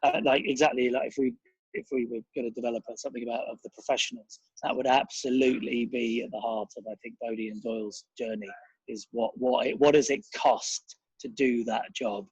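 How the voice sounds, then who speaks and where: A man's voice: medium pitch, fairly even intonation, brisk, slightly rough, no echo, muffled recording. A man chatting casually as an interview guest, emphatic and informal, restarting his phrases.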